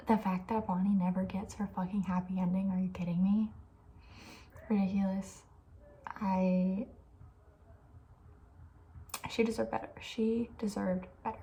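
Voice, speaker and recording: A young woman speaks softly in a casual ASMR style. Her voice is dark and mellow, breathy and often close to a whisper, at a middling pitch. She is chatty and playfully opinionated, with faint noise behind her. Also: poor audio. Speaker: ASMR video creator. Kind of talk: soft-spoken casual ASMR chat.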